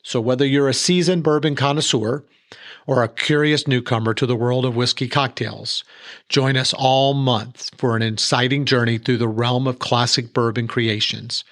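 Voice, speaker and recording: A man reporting for a local podcast, reading a scripted feature segment in a smooth, measured way. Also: medium pitch, fairly even intonation, fairly bright tone.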